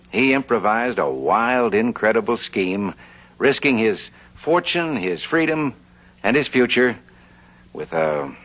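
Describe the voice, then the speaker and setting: A man's voice: on the low side, fairly bright tone. A man narrating a vintage TV drama and playing a flamboyant, genial 1860s banker. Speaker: host and actor. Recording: muffled old TV soundtrack with hiss.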